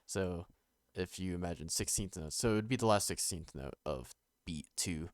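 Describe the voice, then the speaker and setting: Man, young adult, very low voice, nasal, getting over an illness, casual tutorial talk. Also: dry recording. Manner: halting, many ums and restarts, low-key. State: recovering from illness. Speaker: music production tutor. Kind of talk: casual screen-recorded tutorial.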